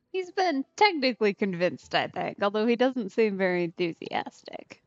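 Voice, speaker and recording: A woman gives casual game commentary in a highish, very animated voice. She talks at an unhurried pace, playfully thinking aloud, on a slightly dull microphone. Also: dry recording. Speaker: game streamer. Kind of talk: casual let's play commentary. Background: faint game music.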